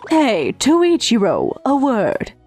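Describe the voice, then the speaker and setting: A woman's voice: fairly high pitch, huge pitch swings, slow pace, a little mellow, soft background music, full character voice. A woman playing a caring, observant working mother in an anime-style romantic comedy dub. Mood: concerned.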